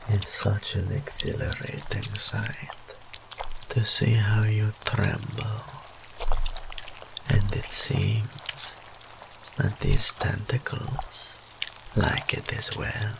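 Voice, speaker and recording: A man playing a seductive, teasing, dominant demon incubus in an intimate audio roleplay, breathy and often whispering, drawing out his taunts. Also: fairly low pitch, completely flat delivery, background noise, full character voice. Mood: seductive, taunting. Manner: slow, drawn-out, mock-sympathetic purring taunts.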